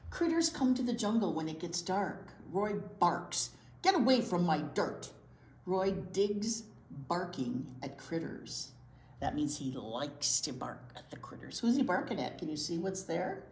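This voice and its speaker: A woman reading a picture book aloud to young children in an expressive way, pausing to explain words simply. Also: fairly low pitch. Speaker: library storytime presenter, children's teacher. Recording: roomy echo, light background noise.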